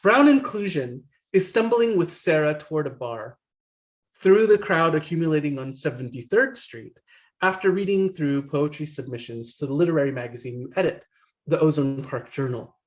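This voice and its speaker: A man's voice: on the high side, lively intonation, moderate pace. Man reading his poems on a video call. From born in London, family from Guyana. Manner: reads in second person, stops with soft fillers between poems. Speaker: poet reading his work. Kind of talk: poetry reading in a virtual workshop.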